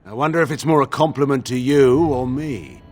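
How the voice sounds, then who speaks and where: An actor playing a proud, embittered middle-aged general with a gravelly, imperious delivery in a fantasy game cutscene. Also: male voice, medium pitch, moderate pace, darkish tone, faint music behind, lightly acted. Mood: grim, measured. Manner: theatrical, imperious oratory.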